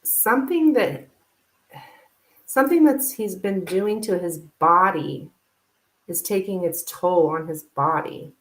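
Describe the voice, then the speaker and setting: The sound is a roomy, echoing live stream. A woman with a fairly low voice gives a casual tarot reading as host. She talks informally and haltingly, working out her thoughts aloud as she goes.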